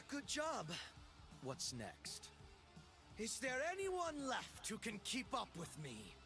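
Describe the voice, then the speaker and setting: An actor playing a smug, power-hungry young assassin emperor in a video game, grandiose and theatrical. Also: male voice, extremely high pitch, huge pitch swings, slow, pushed and bright, soft background music, exaggerated voice. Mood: smug menace. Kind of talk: video game character voice lines.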